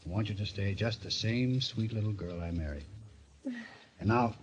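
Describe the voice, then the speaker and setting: An actor playing a wisecracking, outwardly tender husband and police reporter in a golden age radio mystery, slangy, wry and affectionate. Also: male voice, fairly low pitch, moderate pace, natural voice. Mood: fond, gentle. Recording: muffled, noisy vintage radio recording.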